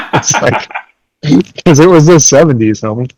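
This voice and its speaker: A man's voice: a little high, lively intonation, a little slow, darkish tone, slight background noise. A man hosting a podcast in casual, slangy chat, with a laid-back and chuckly manner. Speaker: podcast host.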